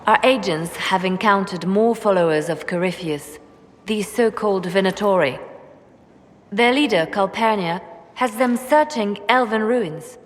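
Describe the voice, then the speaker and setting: An actress playing a brooding, guarded spymaster in a fantasy game, speaking in a measured, cool and deliberate way. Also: female voice, mid-range voice, lightly acted. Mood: cool, calculating. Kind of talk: video game dramatic acting. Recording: long hall reverb.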